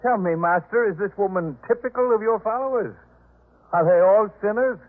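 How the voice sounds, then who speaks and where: An actor playing a proud, disdainful householder in a 1950s radio drama, commanding, clipped and pompous. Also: male voice, sits high, moderate pace, natural voice. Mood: scornful challenge. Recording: muffled phone-like 1950s radio recording.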